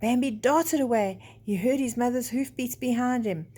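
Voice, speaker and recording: A woman's voice: on the high side, moderate pace, dark tone, slightly rough. An older woman storyteller reading a children's story expressively, with chatty asides that explain things simply.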